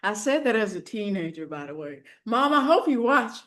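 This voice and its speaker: Lively, encouraging woman co-host. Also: medium pitch, moderate pace. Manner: eager affirming interjections, hype-woman backchannel. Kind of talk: casual chat.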